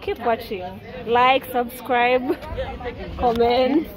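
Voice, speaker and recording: A woman's voice: on the high side, drawn-out words, crowd noise. A young woman vlogging by phone outside, playful and laughing, switching between languages. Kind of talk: casual vlog chat.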